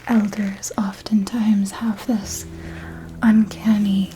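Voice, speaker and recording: A woman playing a seductive, possessive, ancient vampire mentor in a breathy ASMR roleplay, whispering with formal, coaxing, deliberate diction. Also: medium pitch, flat delivery, warm tone, a little hiss or hum, close to an everyday voice, poor audio. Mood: hushed, reverent.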